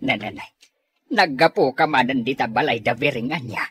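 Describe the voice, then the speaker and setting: A woman's voice: a bass voice, moderate pace, husky, no echo, full character voice. A woman playing a scolding, fussy old villager in a radio comedy drama.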